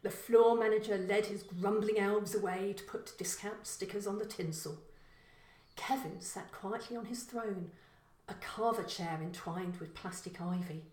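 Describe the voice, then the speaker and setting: A woman reading a short story as scripted narration, in a measured, expressive storytelling manner. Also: mid-range voice, reverberant, low-quality recording.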